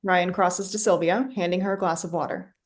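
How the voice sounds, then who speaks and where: A woman's voice: on the low side, steady tone, brisk. A woman reading stage directions as scripted narration for a play reading. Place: remote online staged reading.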